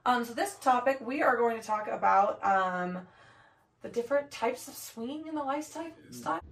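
A young woman co-hosting a podcast from her home office, hoarse from laryngitis, chatting casually with many fillers. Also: fairly high pitch, animated, moderate pace, a little hiss or hum. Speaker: podcast co-host. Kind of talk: casual chat. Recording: echoey room, distant mic.

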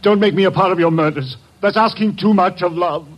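An actor playing an anguished, remorseful father who speaks in a halting, pleading way, in 1940s radio acting. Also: high-pitched, lo-fi sound.

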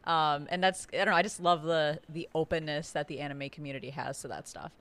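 A woman's voice: deep voice, fairly even intonation, fairly quick, fairly bright tone. A woman chatting casually as a podcast panelist, very informal, saying "like" often. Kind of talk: casual chat.

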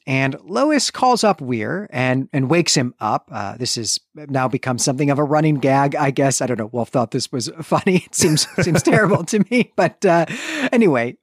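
A man's voice: fairly high pitch, no echo, clean studio sound. A man co-hosting a podcast, recapping and discussing a book in a casual, conversational way with hedging asides. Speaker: podcast co-host.

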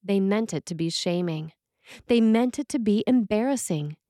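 Woman priest reading a sermon, steady and earnest, dry close recording. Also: mid-range voice, moderate pace, dry recording. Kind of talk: read sermon.

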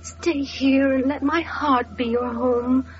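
A woman's voice: high voice, fairly even intonation, a little hiss or hum. An actress playing a tender, pleading woman in love, melodramatic, in an old-time radio drama. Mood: pleading, sorrowful. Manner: slow, melodramatic. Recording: muffled vintage radio recording, faint music.

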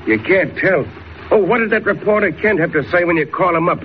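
A man's voice: sits high, a little fast, natural voice. An actor playing a jittery, dim gangster henchman in an old radio drama, with slangy, stammering tough-guy talk. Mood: casual. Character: jittery, none-too-bright male gangster henchman. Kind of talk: 1940s radio acting. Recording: noisy, dull old radio recording.

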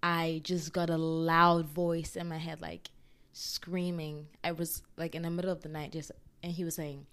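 Young woman, solo podcast host; casual, excitable chatter, smooth and clear tone. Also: low voice, moderate pace. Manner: chatty and excitable, full of fillers.

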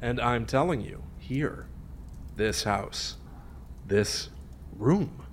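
A man's voice: mid-range voice, animated, very slow, a little hiss or hum. A man playing a smug, teasing friend who spins spooky tales in an audio drama. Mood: morbid, teasing. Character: smug, teasing male friend telling a gory local legend. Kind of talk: naturalistic audio drama acting.

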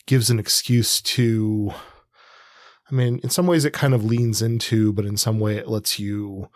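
A man's voice: medium pitch, no echo, studio quality. A man co-hosting a conversational book discussion, thinking aloud in loosely structured, hedging sentences. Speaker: podcast co-host. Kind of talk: conversational literary analysis.

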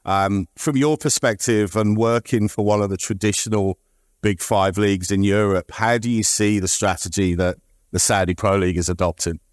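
A middle-aged man hosts a conference panel, his voice on the lower side. He talks loosely and conversationally, with a few fillers, and the sound is close and dry. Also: steady tone, normal speed, no room sound. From United Kingdom. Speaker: panel moderator. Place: conference stage.

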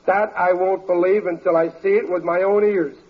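A man's voice: high-pitched, steady tone, normal speed. An actor playing a sarcastic, grumbling working-class father with folksy, ungrammatical talk in a 1940s radio comedy. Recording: very muffled old radio broadcast.

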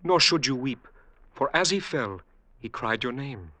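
An actor playing a scheming, coldly triumphant brother-in-law in a formal, declamatory 1950s radio drama style. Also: male voice, mid-range voice, very animated, unhurried, sharp tone, slight background noise. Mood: mock solemn. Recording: vintage radio drama recording.

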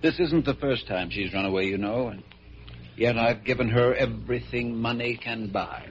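A man's voice: mid-range voice, moderate pace, lightly acted. An actor playing a wealthy, middle-aged father in a 1940s radio drama, formal, courteous and faintly hesitant. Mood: apologetic. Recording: muffled, noisy vintage radio recording.

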